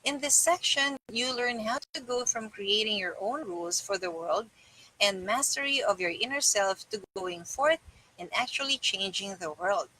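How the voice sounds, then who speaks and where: A middle-aged woman reading and presenting a self-help book to camera in a deliberate, measured way, recorded indoors on a device mic. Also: medium pitch, bright, forceful tone, slight background noise, rough recording. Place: small plain-walled room indoors.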